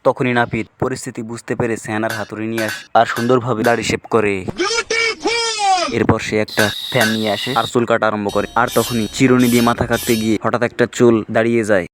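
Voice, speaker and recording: A man's voice: mid-range voice, flat delivery, a little fast. A man with a Bangladeshi accent reads scripted comic narration for a cartoon. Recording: very noisy track with clicks.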